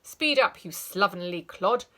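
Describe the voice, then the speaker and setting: Woman doing a furious old schoolmistress, spiteful, barking, loud and sharp, swoops wildly up and down, bright piercing tone. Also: medium pitch, expressive, measured pace. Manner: shouting, spiteful, barking orders.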